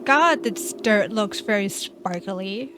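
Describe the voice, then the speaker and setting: A woman's voice: medium pitch, expressive, slow pace, very noisy. A woman doing casual game playthrough commentary, chatting to herself and reading on-screen text aloud. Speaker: gamer playing and commenting on a game. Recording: loud game music behind the voice. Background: game soundtrack.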